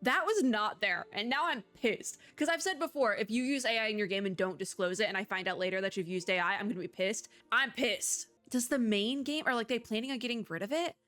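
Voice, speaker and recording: A woman with a medium-pitched voice hosts a game video in unscripted commentary. She talks informally and chattily, rambling from one thought to the next as she goes. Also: brisk, few pauses, fairly bright tone, faint music behind. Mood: annoyed. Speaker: video game demo host.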